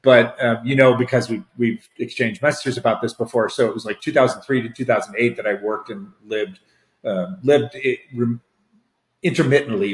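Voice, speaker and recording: A man's voice: mid-range voice, roomy sound, rough recording. A man hosting a podcast, chatting in a rambling, conversational way and affirming his guest. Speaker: podcast host. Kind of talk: casual interview chat.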